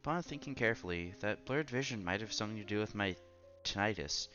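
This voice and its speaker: Guy doing visual novel lets play, bright voice, stumbly reading w asides, soft music behind. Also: fairly low pitch, lively intonation, fast talker, highs cut off. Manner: stumbles over words while reading, self-correcting. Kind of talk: casual let's-play read-aloud with asides.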